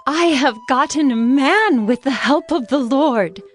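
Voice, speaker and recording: A woman playing the earnest first woman, expressive, in a dramatized scripture reading. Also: high-pitched, unhurried, soft background music. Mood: grateful. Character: Eve, earnest first woman, wife and mother.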